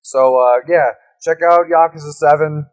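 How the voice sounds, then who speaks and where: A man's voice: on the high side, fairly even intonation, normal speed. A young man co-hosting a podcast, chatting casually with crude jokes and frequent swearing. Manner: joking and crude. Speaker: podcast co-host. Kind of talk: casual chat.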